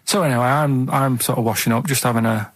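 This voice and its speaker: A man with a Northern English accent telling casual, rambling anecdotes in a deadpan, matter-of-fact and slightly defensive way. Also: mid-range voice, fast talker, no room sound. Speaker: radio show sidekick telling anecdotes.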